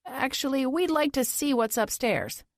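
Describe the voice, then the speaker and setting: A woman's voice: sits high, animated, moderate pace, dry recording, voice pushed up. Woman voicing an insistent male investigator, dry sound. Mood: businesslike, insistent. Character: determined, suspicious male police investigator. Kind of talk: audiobook character dialogue.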